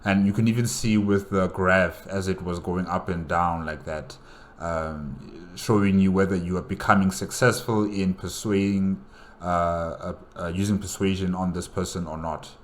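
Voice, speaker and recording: A man giving casual reaction commentary, halting and thinking aloud as he repeats himself. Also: low-pitched, steady tone. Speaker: reaction video host. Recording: noisy home recording.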